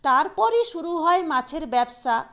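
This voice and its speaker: A woman narrating a literary short story in a deliberate, expressive storytelling manner. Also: high-pitched.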